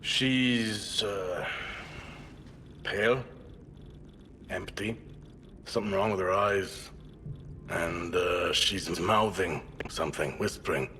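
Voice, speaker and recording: A man playing a troubled, guarded space colonist in therapy for a game audio log, speaking haltingly and reluctantly. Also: low-pitched, pushed and bright, slight background noise, exaggerated voice. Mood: haunted, uneasy.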